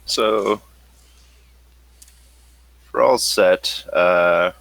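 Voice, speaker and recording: A man running a tabletop role-playing game as game master, improvising narration in a halting, thinking-aloud way. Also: low voice, gravelly, a bit bright, no echo.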